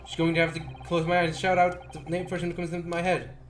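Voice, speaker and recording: A man's voice: fairly high pitch, monotone, fast. A man livestreaming a visual novel, reading its dialogue aloud in a theatrical way. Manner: theatrical, acting out characters while reading. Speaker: streamer.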